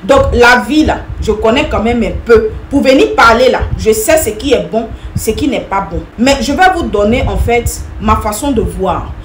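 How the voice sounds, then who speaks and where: Woman in her 30s, emphatic advice vlogger, slightly high voice, roomy echo. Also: lively intonation, moderate pace, few pauses. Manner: emphatic, repetitive, addresses viewers directly. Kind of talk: direct-to-camera opinion talk. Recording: echoey room with faint noise.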